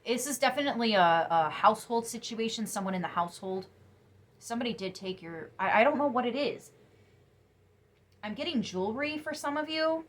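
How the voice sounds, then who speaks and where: A young woman giving a casual tarot reading to camera, chatty and emphatic, sniffly after crying, her voice turning soft when she muses. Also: fairly high pitch, animated, a little fast. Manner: chatty, emphatic, drops to soft musing asides. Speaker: tarot reader.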